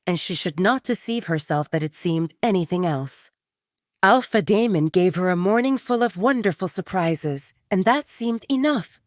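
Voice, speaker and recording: Woman, scripted story narration, slightly low pitch, animated melody, very dry sound. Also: no room sound. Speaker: audio drama narrator.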